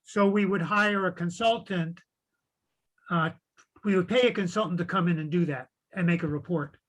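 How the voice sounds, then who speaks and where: High-voiced man, hesitant. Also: normal speed, poor audio. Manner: hesitant, many restarts. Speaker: commissioner and clerk. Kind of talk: meeting discussion.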